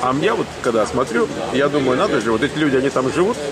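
A middle-aged man hosting a casual chat, talking quickly and rambling with many fillers. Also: mid-range voice, big pitch swings, a loud crowd, low-quality recording. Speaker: channel host living in the US. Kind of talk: casual conversation.

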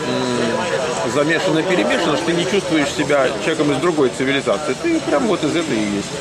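A middle-aged man hosting a casual chat, talking quickly and rambling with many fillers. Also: on the high side, very animated, rough recording. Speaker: channel host living in the US. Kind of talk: casual conversation.

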